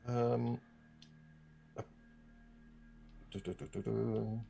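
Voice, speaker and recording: A man's voice: mid-range voice, flat delivery, drawn-out words, creaky, warm tone, slight background noise. A man playing a tabletop game, talking casually and hesitantly as he thinks aloud.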